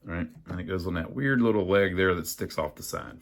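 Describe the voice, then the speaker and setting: A man's voice: low-pitched, a little fast, slight background noise. A man hosting a casual hands-on repair tutorial, thinking aloud in a folksy way as he works. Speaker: host of an amateur radio repair channel.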